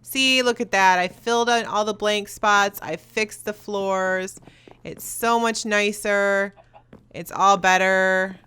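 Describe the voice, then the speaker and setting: A young woman hosting a video, talking loud and fast in casual chat as she answers viewer questions while playing a game. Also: medium pitch, fairly even intonation, smooth. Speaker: YouTuber host.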